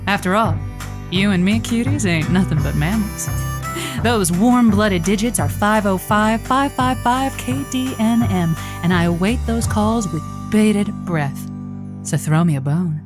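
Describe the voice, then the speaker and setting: A woman's voice: medium pitch, unhurried, lightly acted. Flirty female late-night radio host played by an actress, teasing patter, prickly edge, audio drama, music bed. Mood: sultry, playful.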